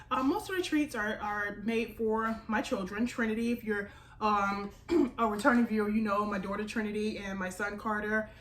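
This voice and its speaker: Stuffy-sounding American woman, higher voice, echoey room. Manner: chatty, informal, addresses viewers as "you guys". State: stuffy, congested nose. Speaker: home baker and cooking channel host. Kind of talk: casual cooking tutorial chat. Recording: echoey room with faint background noise.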